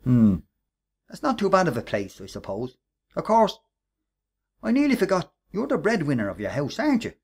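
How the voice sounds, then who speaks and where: A man's voice: a little high, huge pitch swings, normal speed, long pauses, a little mellow, husky, exaggerated voice. An actor playing a scheming, menacing Irish workman and ringleader in a radio play, with a taunting, sarcastic, bossy manner. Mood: sly, menacing.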